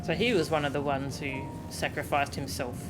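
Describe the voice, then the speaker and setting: A video game streamer reading dialogue aloud in a theatrical, deliberate way and reacting to it. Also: mid-range voice, steady tone, poor audio. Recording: game music behind the voice. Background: video game music.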